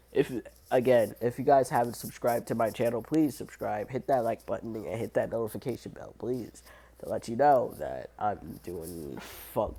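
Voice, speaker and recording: Sick, hoarse young man chatting slowly. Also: medium pitch. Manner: rambling, crude slang, laughs and coughs often. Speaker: YouTube voice creator. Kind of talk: casual vlog chat.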